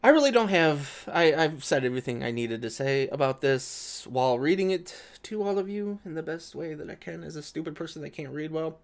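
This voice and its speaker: A man with a fairly high voice delivers casual reaction commentary for an online video. He is sarcastic and profane, and comes across as exasperated while reading and responding. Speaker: video creator reacting to an article. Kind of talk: casual commentary alternating with reading an article aloud.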